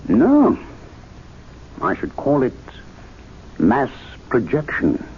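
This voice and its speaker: An actor playing a learned, argumentative club gentleman in a 1970s radio drama, lecturing formally and emphatically. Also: medium pitch, huge pitch swings, extremely slow, husky, heavily acted. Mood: rapt insistence. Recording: dull, noisy 1970s radio recording.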